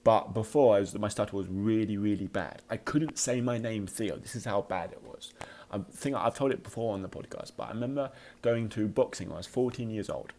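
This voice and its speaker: Male podcast host, low voice, informal motivational talk, slight stutter, halting but emphatic. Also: a little mellow, a little hiss or hum.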